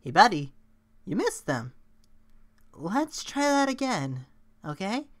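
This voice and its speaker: A woman's voice: mid-range voice, sing-song, slow. A woman voicing a sadistic young talking flower from a video game, folksy and saccharine with menace underneath. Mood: sugary, coaxing. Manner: saccharine folksy cheer turning to menacing sneer. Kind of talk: video game character impression.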